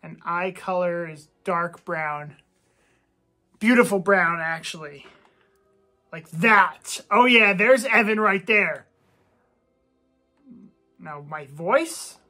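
A man's voice: high voice, takes it slowly, long pauses, pushed and bright, soft background music, exaggerated voice. A man playing a boastful, touchy young gamer who talks cocky and gets defensive when teased. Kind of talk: comedy gameplay sketch.

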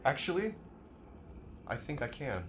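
Weary fugitive lover, earnest and pleading, slightly high voice, faint ambience behind, audio drama. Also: male voice, big pitch swings, a little slow, a little hiss or hum. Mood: sad, resigned. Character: weary, pleading man on the run, resigned lover.

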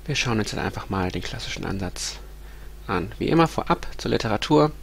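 A man's voice: lowish voice, expressive, fairly quick, vocal fry, a bit bright, a little hiss or hum. Man with a stuffy cold lecturing. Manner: hesitant, many ums and restarts. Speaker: university lecturer. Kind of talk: recorded lecture with slides. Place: small room at home.

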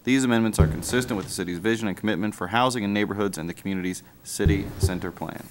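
Fast-reading man making council motions. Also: on the low side, slight background noise. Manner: rattles off formal motion text. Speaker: council member. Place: council chamber. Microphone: desk microphone.

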